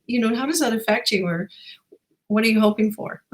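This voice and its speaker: A woman's voice: on the high side, normal speed. A woman hosting a casual interview in an encouraging, affirming manner. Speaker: interviewer and host.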